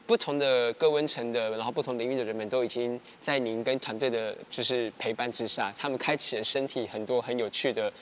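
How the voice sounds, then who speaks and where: A man's voice: mid-range voice, steady tone, brisk, hardly any pauses, a bit bright, a little hiss or hum. Male TV host with short prompts. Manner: brief prompts and backchannels, switches to Mandarin. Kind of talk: interviewing guests. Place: indoor TV studio set.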